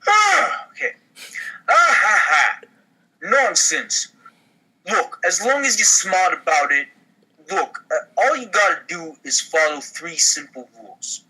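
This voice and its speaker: A man's voice: sits high, big pitch swings, slow, bright, forceful tone, slightly rough, rough recording. A man performing parts in an informal comedy table read. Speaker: podcast performer reading parts.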